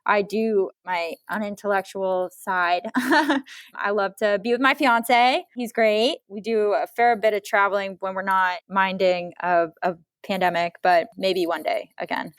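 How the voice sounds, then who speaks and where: A woman's voice: medium pitch, steady tone, a little slow, clear, resonant tone, dry recording. A woman speaking as a guest novelist in a casual interview, with an effusive, emphatic way of talking.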